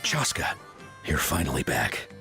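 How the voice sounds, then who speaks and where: Game veteran, thoughtful, measured delivery, lively intonation, faint music underneath. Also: male voice, extremely low pitch, vocal fry, exaggerated voice. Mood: concerned. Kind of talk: video game voice acting.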